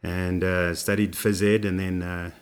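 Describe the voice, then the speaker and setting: Low-voiced guy rambling about coaching, lots of ums. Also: flat delivery, unhurried, smooth. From South Africa. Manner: rambling, many fillers and restarts. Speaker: running coach guest. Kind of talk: relaxed interview storytelling.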